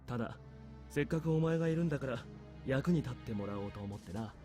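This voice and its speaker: A male actor as a calculating military major in a visual novel, calm and dryly authoritative. His voice is soft-edged and mellow, yet it moves along briskly. Faint music sits behind him. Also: mid-range voice, close to an everyday voice. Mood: businesslike, persuasive.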